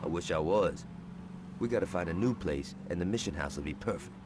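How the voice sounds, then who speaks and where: An actor playing a calm street gang lieutenant who talks through plans in a video game. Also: male voice, low voice, a little fast. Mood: matter-of-fact. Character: calm adult male street gang lieutenant planning moves. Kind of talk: video game dialogue acting. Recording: noisy game audio with car sounds.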